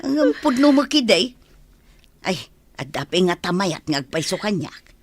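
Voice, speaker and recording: An actress playing a blunt, earthy helper and confidante in a radio drama. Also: female voice, mid-range voice, big pitch swings, unhurried. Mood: teasing, scolding. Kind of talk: Filipino radio drama acting.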